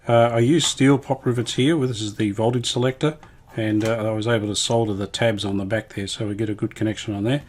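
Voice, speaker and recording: A man with a fairly low voice presents a hands-on workshop demonstration. He talks casually and without hurry, in a matter-of-fact way, as if thinking aloud while he works. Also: steady tone, fast, a little mellow. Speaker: hobbyist radio restorer presenting his video.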